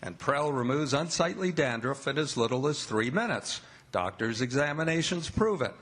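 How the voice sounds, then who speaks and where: A man's voice: mid-range voice, lively intonation, moderate pace, a bit bright. A man announcing in the style of a vintage radio show, with a polished salesman's delivery.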